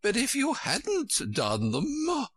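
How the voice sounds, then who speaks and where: A man voicing a flighty, imperious older queen from a storybook in a shrill, squeaky, swooping voice. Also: wildly swooping pitch, takes it slowly, a bit bright, breathy edge, no echo, studio quality, full character voice. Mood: gleeful, insistent. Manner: emphatic, swooping, exclaiming.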